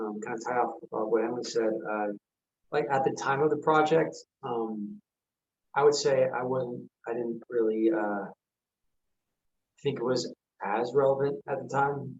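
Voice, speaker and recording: A man answering as an actor in a casual online Q&A, halting and hesitant as he talks. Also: mid-range voice, darkish tone. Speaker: actor on a panel. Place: remote video call. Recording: dull, lo-fi video call audio.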